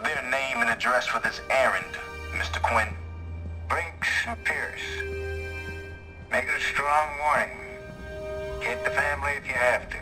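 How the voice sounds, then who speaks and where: A man playing a cold, commanding mob boss in a video game, measured, curt and menacing. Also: mid-range voice, over music, natural voice. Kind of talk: video game villain acting.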